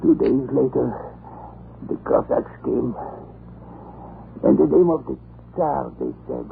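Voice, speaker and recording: Halting, gravelly crazed convict. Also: male voice, mid-range voice. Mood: bitter, obsessed. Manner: halting, muddled, repetitive, fervent. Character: crazed, broken male life convict obsessed with grievance. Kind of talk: old-time radio drama acting. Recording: scratchy muffled vintage radio broadcast.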